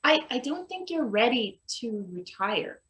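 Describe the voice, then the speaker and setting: A woman's voice: on the high side, sing-song, measured pace, fairly bright tone. A woman playing an earnest, hesitant maintenance worker in a table read of a satirical play. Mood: hesitant, conciliatory.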